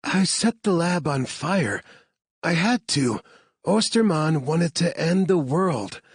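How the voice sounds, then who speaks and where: A man's voice: on the high side, lively intonation, slow pace, husky, no room sound, clean studio sound, voice pushed up, heavily acted. A man voicing a fierce, determined woman scientist in an audiobook, speaking urgently.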